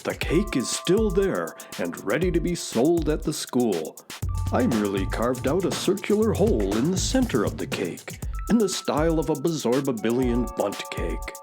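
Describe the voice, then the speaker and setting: A man's voice: medium pitch, big pitch swings, normal speed, over music, lightly acted. Man as a breezy, swooping space-horse sidekick. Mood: smug, reassuring. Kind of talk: children's audio drama acting.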